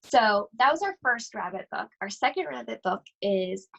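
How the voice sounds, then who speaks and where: A woman reading a children's storybook aloud expressively, with deliberate, suspenseful phrasing and drawn-out words. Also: a little high, animated, vocal fry, fairly bright tone, muffled recording. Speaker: school library read-aloud host.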